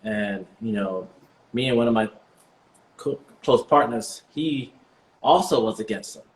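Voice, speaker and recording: A man in his forties, born in the US and partly raised in Jamaica, answering interview questions as a guest in a relaxed, reflective way with many fillers. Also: mid-range voice, a little slow, low-quality recording. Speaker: guest, vegan bodybuilder.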